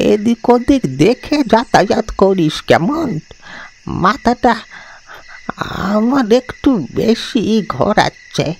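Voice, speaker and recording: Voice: mid-range voice, a little hiss or hum. An actor voicing a frail, fond old village grandfather in a cartoon ghost story, rambling and repeating words in a fatalistic way. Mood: resigned, fond, then weary, unwell. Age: older. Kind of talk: dramatised animated ghost story.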